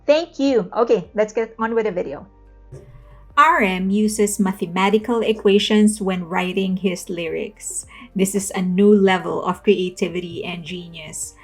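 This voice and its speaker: Gravelly-voiced young woman hosting a video essay. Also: on the low side. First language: not Korean. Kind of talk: casual intro then scripted voice-over commentary. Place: home room with plush toys.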